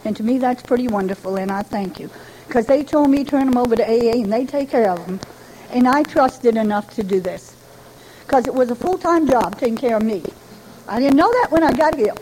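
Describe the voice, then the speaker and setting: An older woman from Rhode Island tells her personal recovery story to a meeting in a chatty, folksy way with gentle humor. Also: fairly high pitch, lively intonation, a little fast, a little hiss or hum, rough recording. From Rhode Island, New England. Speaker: Al-Anon member giving a talk. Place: speaking to a gathering.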